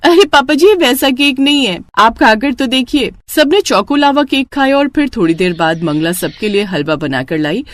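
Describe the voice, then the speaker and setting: A woman narrating a cartoon story and voicing the young wife, in an expressive storytelling manner. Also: sits high, normal speed, hardly any pauses, no room sound, studio quality. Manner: lively, expressive.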